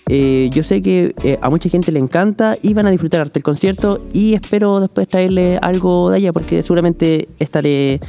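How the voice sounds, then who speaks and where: A man's voice: sits high, moderate pace, gravelly, few pauses, warm tone. A young Chilean man, a music panelist on a chat show, recommending concerts enthusiastically with many fillers. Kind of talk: radio-style panel chat.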